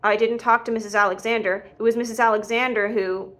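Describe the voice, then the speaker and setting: A woman's voice: on the high side, fairly even intonation, fast talker, fairly bright tone, lightly acted. Lady reading as earnest literal kid, matter of fact, defending himself, audiobook dialogue. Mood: defensive. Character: literal-minded, earnest boy, defensive with his father.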